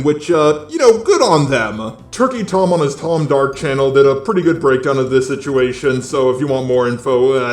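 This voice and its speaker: Animated, higher-voiced man with blunt delivery. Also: reverberant. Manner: blunt, swearing, conversational. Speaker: commentary channel host. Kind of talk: casual opinionated drama commentary.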